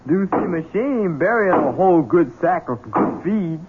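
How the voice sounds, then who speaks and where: An actor playing a folksy, obedient long-serving manservant with a Southern American accent in an old-time radio drama. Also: male voice, sits high, lively intonation, moderate pace, heavy background noise. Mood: dutiful. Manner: folksy, plain-spoken. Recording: tinny, narrow-band vintage radio recording.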